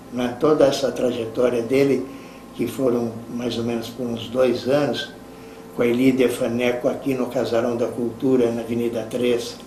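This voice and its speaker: Brazilian male, mid pitch, monotone testimonial, halting, recorded off-mic in an echoey room. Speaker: interviewee giving a tribute. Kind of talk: spoken testimonial. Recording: roomy, distant mic, faint noise.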